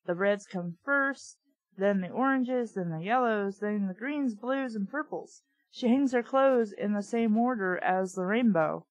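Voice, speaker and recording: A woman's voice: medium pitch, a little slow, no room sound, poor audio. Young woman with dry, close sound, casual and blunt. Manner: blunt, opinionated, swears freely. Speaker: host reading a children's book aloud with commentary. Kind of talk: casual commentary interspersed with read-aloud.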